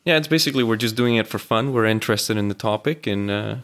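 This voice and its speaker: A man's voice: on the low side, fast, a bit bright. Male podcast co-host with a Venezuelan Spanish accent; easygoing, chatty. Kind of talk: casual chat. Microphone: remote call.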